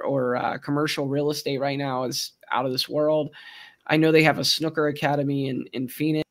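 A man in his forties with a Baltimore accent, co-hosting a casual livestream and telling stories with frequent laughs. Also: a little high. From Baltimore, Maryland. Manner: chatty storyteller, laughs often. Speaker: co-host.

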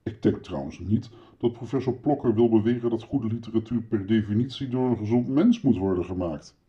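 In an echoing room, an erudite male moderator, acted by a man leads a 1960s literary radio discussion. His voice is low and his phrasing is formal. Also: lo-fi sound, lightly acted. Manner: formal, measured wording. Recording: roomy, echoing sound.

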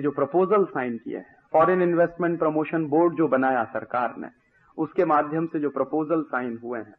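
A man from India giving an impassioned lecture on public affairs, speaking emphatically with repetition and rhetorical questions, on a lo-fi recording. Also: a little high. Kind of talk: impassioned political-economic lecture. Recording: dull lo-fi radio-like audio.

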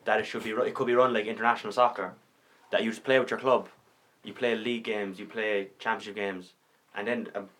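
A man's voice: lowish voice, steady tone, fairly quick, few pauses, fairly bright tone. A man co-hosting a casual podcast, thinking aloud in long explaining turns. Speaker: podcast co-host. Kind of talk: casual chat.

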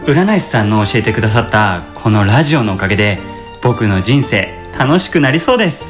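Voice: medium pitch, expressive, brisk, over music, lightly acted. A performer playing a timid, earnest young male customer in a radio drama, polite, hesitant and grateful. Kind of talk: amateur radio drama acting.

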